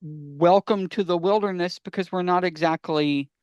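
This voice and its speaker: A man's voice: high-pitched, normal speed, a little mellow, smooth, no room sound, lo-fi sound. A man co-presenting a podcast in casual explanatory chat, wry and rambling, repeating words for emphasis.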